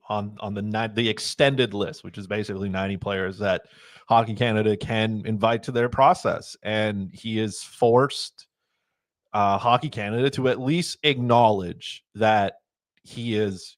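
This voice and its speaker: A man's voice: lowish voice, moderate pace, no echo, studio quality. A man co-hosting a hockey podcast in casual chat, blunt and emphatic, repeating his points. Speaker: podcast co-host.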